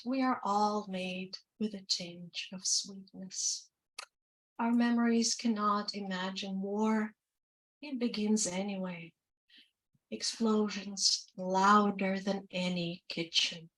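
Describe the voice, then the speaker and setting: A woman from Ukraine reading her poems slowly and deliberately, with quicker, chattier asides between them. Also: mid-range voice, fairly bright tone, distant mic, poor audio. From Ukraine, former Soviet Union. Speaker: poet and translator. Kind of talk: poetry reading with spoken asides.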